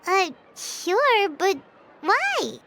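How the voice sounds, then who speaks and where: Very high squeaky little fairy companion. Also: female voice, very animated, very slow, background noise, full character voice. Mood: puzzled. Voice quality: squeaky, childlike. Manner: exclamatory, speaks of herself in third person. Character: Paimon, tiny childlike floating fairy companion, excitable. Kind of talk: video game voice acting.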